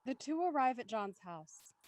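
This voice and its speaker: A woman narrates a scripted drama, reading out scene headings and stage directions. Her voice is fairly high. The recording is dry and close, with hardly any room. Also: sing-song, a little slow, a little mellow, dry recording, rough recording. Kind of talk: scripted narration for an audio play.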